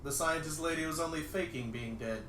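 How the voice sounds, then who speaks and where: A man's voice: on the high side, fairly quick. A man giving an amused comic dramatic reading of a screenplay as a livestreamer. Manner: amused, often breaking into laughter. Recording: roomy, distant mic, faint noise.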